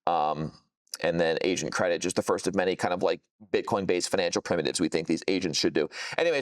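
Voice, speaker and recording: Low, smooth-voiced man presenting casually. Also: dry recording. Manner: casual, tech-jargon-heavy explaining. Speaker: software founder presenting a product. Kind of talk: informal product demo presentation.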